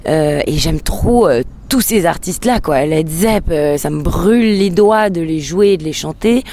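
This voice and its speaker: A woman's voice: low voice, expressive, measured pace, mellow. A woman whose first language is English, a singer-songwriter answering interview questions in a hesitant way, with many filler sounds and restarts.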